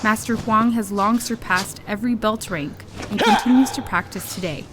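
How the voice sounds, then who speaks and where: A woman reading scripted documentary narration in a measured way. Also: mid-range voice, very noisy.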